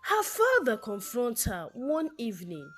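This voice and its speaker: A woman narrating a scripted folktale in a deliberate, dramatic storytelling manner. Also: on the high side, wildly swooping pitch, fairly bright tone, faint music behind. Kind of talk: scripted folktale narration, reading character names before their lines.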